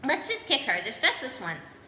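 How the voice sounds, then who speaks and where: Storybook schoolboy sidekick, read by a woman: blunt, impatient, high and bright, echoey. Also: wildly swooping pitch, normal speed, heavily acted. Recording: echoey hall, background noise.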